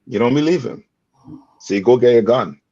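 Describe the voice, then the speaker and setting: Husky man preaching with emphatic questions. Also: medium pitch, a little fast, mellow. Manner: emphatic, rhetorical questions, repeats "read on" and "you understand". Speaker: teaching elder leading scripture reading. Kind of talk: call-and-response scripture teaching. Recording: dull lo-fi dry sound.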